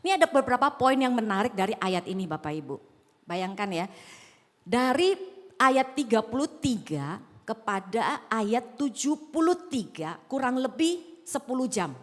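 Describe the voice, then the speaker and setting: A woman's voice: on the high side, big pitch swings, normal speed. Woman pastor, slight Javanese accent, echoing hall. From Sumatra, Indonesia. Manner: conversational, asks the congregation questions. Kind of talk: sermon. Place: large auditorium.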